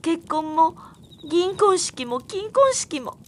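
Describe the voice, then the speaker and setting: An actress plays a girlish young woman in a radio drama, earnest and heartbroken. Her voice is extremely high and plaintive, over faint forest ambience. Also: expressive, slow pace, a little hiss or hum, full character voice. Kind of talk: audio drama acting.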